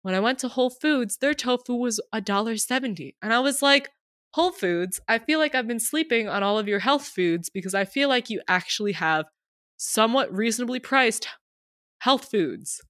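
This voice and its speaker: A woman's voice: on the high side, lively intonation, moderate pace, fairly bright tone, no room sound, studio quality. Stuffy-nosed young woman, chatty podcast host. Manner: chatty, addresses listeners directly. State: stuffy nose from a cold. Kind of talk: casual chat.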